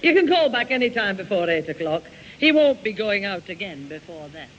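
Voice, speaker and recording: A harried mother in an old British radio comedy, polite but quick to scold. Her pitch moves about a lot, and the recording is dull and faintly hissy. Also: very animated, a bit bright. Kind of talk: British radio sitcom acting.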